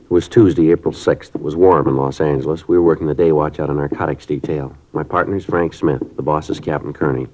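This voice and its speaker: An actor playing a terse police detective who narrates in a clipped, deadpan, matter-of-fact manner, in a 1950s radio drama. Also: male voice, rumbling low, brisk, few pauses. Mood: flat narration. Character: terse male police detective, middle-aged. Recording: dull lo-fi 1950s radio broadcast.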